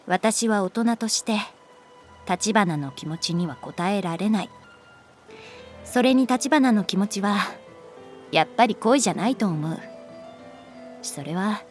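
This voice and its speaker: A composed, caring female schoolteacher played by a woman in an audio drama. She speaks in a mid-pitched voice, gentle and measured, with a grown-up restraint, over faint background music. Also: close to an everyday voice. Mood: firm, restrained. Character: caring, composed young female homeroom teacher, gently teasing. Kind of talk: Japanese voice drama acting.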